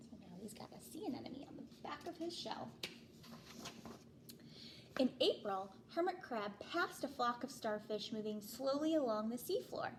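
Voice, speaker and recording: Higher-voiced woman reading to young children. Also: moderate pace, background noise. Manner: expressive, explanatory, with stressed phrases. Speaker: kindergarten teacher. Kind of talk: picture book read-aloud for young children.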